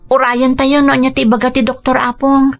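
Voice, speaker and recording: A woman's voice: high voice, completely flat delivery, moderate pace, faint music behind. A woman with an Ilocano accent playing a humble, devoted young grandson in a radio drama, speaking earnestly and respectfully. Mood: reassuring, determined.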